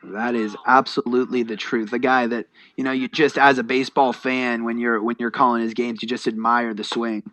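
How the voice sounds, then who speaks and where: A man's voice: medium pitch, level tone, brisk, hardly any pauses, fairly bright tone, no room sound, poor audio. An American man giving conversational color commentary and analysis in a baseball broadcast.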